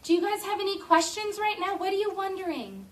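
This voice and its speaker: A woman teacher reading a picture book aloud to a class of children in an expressive, drawn-out storytelling way, stopping to ask eager questions. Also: very high voice. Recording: distant classroom camera with faint noise.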